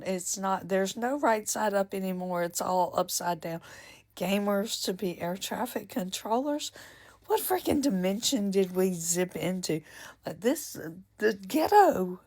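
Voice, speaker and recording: A woman's voice: medium pitch, unhurried, creaky, darkish tone. Exasperated woman reacting. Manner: exasperated, incredulous. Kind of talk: casual reaction commentary.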